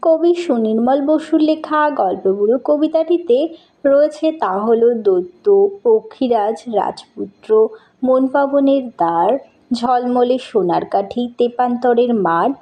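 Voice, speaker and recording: High-pitched woman teaching a school lesson. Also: measured pace, mellow, low-quality recording. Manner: instructional, addresses pupils directly. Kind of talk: school lesson, reading out questions and answers.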